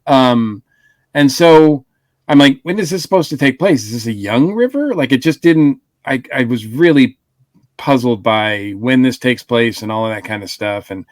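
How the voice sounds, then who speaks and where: A man talks casually as a podcast panelist reviewing audio dramas. His delivery is halting, with repeated words and self-corrections, and the sound is dry and free of room echo. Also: mid-range voice, animated.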